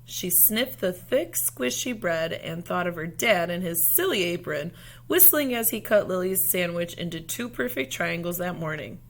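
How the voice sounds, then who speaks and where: Low-pitched woman reading a picture book aloud. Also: lively intonation, moderate pace, creaky. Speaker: read-aloud storyteller. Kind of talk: expressive picture-book read-aloud to children.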